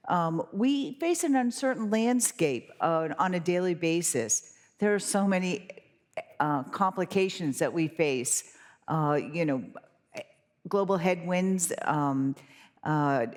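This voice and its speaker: A woman moderating a conference panel who briskly prompts the speakers and delivers prepared remarks. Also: on the low side. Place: conference hall. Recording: reverberant conference hall.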